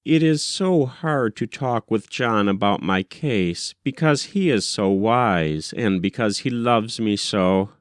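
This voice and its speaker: A man reading a literary story aloud as an audiobook narrator, with deliberate, dramatic phrasing. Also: medium pitch, no echo, clean studio sound.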